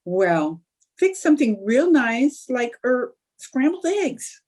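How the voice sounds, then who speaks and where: A scheming young housewife, played by a woman, in a radio sitcom. Her highish voice swings widely, with a husky, mellow edge, as she coaxes and wheedles. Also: very animated, slow pace, exaggerated voice. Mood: coaxing, conspiring. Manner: coaxing, theatrical. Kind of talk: old-time radio sitcom acting.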